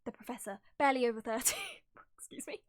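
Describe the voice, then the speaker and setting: A woman's voice: a little high, fairly even intonation, fast talker, no echo. A woman streamer reading game story text aloud, with giggly, amused asides between passages. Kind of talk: reading game text aloud dramatically with casual live reactions.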